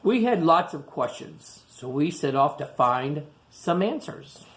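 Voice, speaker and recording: A man reading a picture book aloud to young children, pausing for simple explanations and questions. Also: on the high side, sing-song. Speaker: storytime reader for young children. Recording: echoey room sound.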